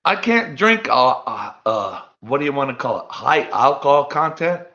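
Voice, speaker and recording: A man's voice: medium pitch, sing-song, normal speed, bright, forceful tone, highs cut off. A man chatting casually with a friend on a live stream, teasing him good-humouredly. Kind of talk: casual chat.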